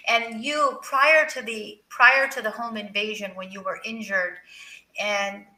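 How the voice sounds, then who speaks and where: A woman's voice: mid-range voice, bright, forceful tone. A woman hosting a live interview in an effusive, encouraging manner. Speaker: interview show host. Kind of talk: live interview, reading viewer comments. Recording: roomy, echoing sound.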